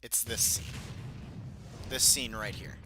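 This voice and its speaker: A man's voice: mid-range voice, fairly even intonation, slow pace, background noise. A young man reading aloud a book he wrote as a child, breaking off into self-mocking, rambling asides. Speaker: reader of his own childhood book. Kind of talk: casual read-through with commentary.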